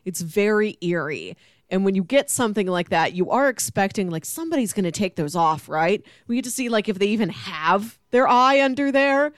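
A woman's voice: mid-range voice, animated, moderate pace, no echo. Woman in casual chat, close dry sound. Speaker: guest co-host discussing books.

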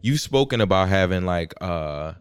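A man hosting a casual podcast, relaxed and quick to laugh, with plenty of swearing. His voice sits on the lower side. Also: smooth, no room sound, studio quality. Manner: laid-back, laughs a lot, heavy swearing. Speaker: podcast host.

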